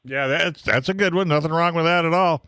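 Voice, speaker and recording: A man's voice: fairly high pitch, a little fast, pushed and bright, clear, resonant tone, no room sound, studio quality. A man working as a radio host, speaking in a casual, conversational on-air style. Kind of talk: casual radio chat and sponsor reads.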